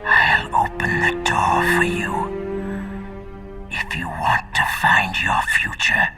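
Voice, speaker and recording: An actor playing a dying psychic villain in a video game, sinister and mournful, with a breathy rasp and slow, theatrical delivery. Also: male voice, low-pitched, fairly even intonation, whispered, music in the background. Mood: dying, wistful. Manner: slow, halting, dramatic phrasing. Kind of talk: video game cutscene acting.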